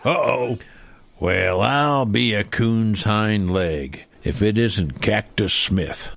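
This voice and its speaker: A man's voice: fairly low pitch, slow, gravelly, a bit bright, exaggerated voice. A man playing a folksy, drawling, grumbling cowboy in an old-west hardware store comedy radio play. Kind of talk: comedy radio theater acting.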